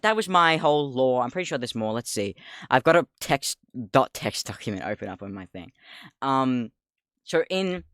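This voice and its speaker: A young man with a deep voice talks loosely into a desk mic at home, his delivery rambling and easily distracted, full of laughs and swearing. The sound is dry and close, with no room around it. His pitch sits in an ordinary middle range for a man. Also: fairly bright tone, dry recording. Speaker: streamer. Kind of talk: casual livestream chat.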